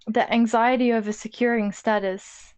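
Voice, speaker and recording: A woman co-hosting an intellectual discussion, speaking deliberately in short, broken phrases. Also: fairly high pitch, steady tone, darkish tone, clean tone. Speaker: co-host of a discussion stream.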